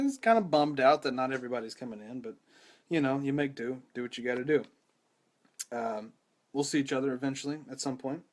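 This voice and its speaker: Hoarse, rattly-voiced man hosting live. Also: medium pitch. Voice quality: rattly, strained voice. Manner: rambling, self-deprecating, many restarts. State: hoarse, losing his voice. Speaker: podcast host. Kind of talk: casual live-stream chat. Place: home room with mic. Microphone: studio microphone.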